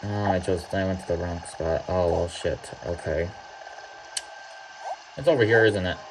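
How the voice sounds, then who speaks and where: A man's voice: low-pitched, moderate pace, background noise. A young man giving casual, rambling gameplay commentary, drifting off topic and swearing freely. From Mexico. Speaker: gaming YouTuber. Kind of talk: casual let's-play commentary. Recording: loud game music behind the voice.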